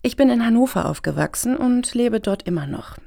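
A woman's voice: fairly high pitch, animated, fairly quick, creaky, warm tone. An actress playing an earnest, self-important middle-aged woman activist in a satirical radio play, speaking formally and wordily. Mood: self-pitying, earnest.

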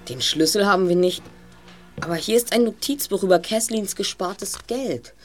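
Woman as smart teen boy sleuth, bit commanding, exact wording, radio play, medium pitch. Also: expressive, normal speed, faint music behind, natural voice. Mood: businesslike. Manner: analytical, precise, commanding. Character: clever, self-assured teenage boy detective.

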